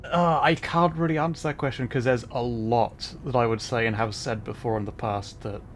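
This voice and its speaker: A man's voice: medium pitch, fairly even intonation, moderate pace, soft background music. Rambling young man streaming a game. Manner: rambling, self-correcting, laughs often. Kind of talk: casual game stream chat.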